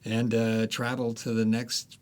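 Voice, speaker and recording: A man, an author being interviewed, talking through history in a relaxed, unhurried way with frequent fillers and restarts. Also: mid-range voice. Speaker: author guest.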